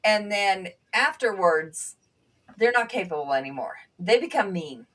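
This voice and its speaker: A woman whose first language was Bulgarian, a panel guest and former nursing assistant, speaking earnestly and reflectively with halting restarts. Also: mid-range voice, animated, a bit bright, distant mic. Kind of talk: conversational panel talk.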